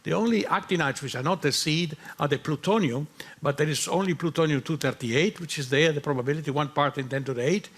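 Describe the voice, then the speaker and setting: A man speaking on a conference panel, explaining nuclear physics in halting, non-native English. He often repeats himself mid-sentence and searches for words, in a middle-register voice. The setting is a conference hall. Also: a little high, a bit bright, husky. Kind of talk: technical panel answer.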